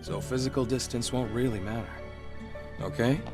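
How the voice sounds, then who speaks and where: A man voices a reassuring mission planner from a video game. He speaks in a steady, practical way at an average pitch. Music plays clearly behind him. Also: moderate pace, lightly acted. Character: calm, reassuring male guide and mission planner.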